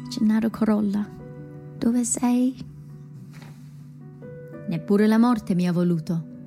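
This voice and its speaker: A woman's voice: medium pitch, takes it slowly, mellow. A woman playing a proud, defiant, weary prostitute in a radio drama monologue, speaking solemnly and emphatically. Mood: anguished. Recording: music under the voice.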